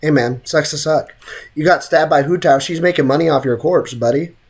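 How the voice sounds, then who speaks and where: Very low-voiced woman game streamer. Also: a little fast, a little mellow, husky. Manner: joking, slangy banter with viewers. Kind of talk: casual livestream chat and game commentary.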